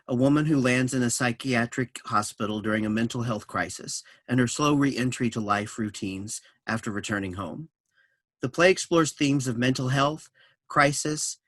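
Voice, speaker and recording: A man reading scripted introductory notes and stage directions as a narrator, in a measured, explanatory way. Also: mid-range voice, fairly bright tone, no echo, clean studio sound.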